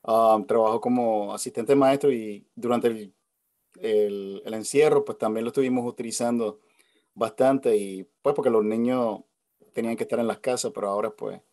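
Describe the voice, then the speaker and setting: A man's voice: medium pitch, fairly even intonation, normal speed, a little mellow, no room sound, clean studio sound. A man with a Puerto Rican accent, hosting a casual video call chat, talking hesitantly with many fillers and restarts. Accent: Puerto Rican Spanish. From Puerto Rico. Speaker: host. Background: family noise at home.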